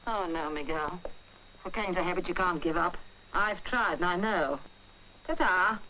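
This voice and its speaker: An actress with a British accent playing a controlling spy handler who poses as a theatre dresser, sardonic and menacing in 1940s film style. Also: female voice, fairly low pitch, measured pace, bright, forceful tone. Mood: cold, mocking. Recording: noisy old film soundtrack.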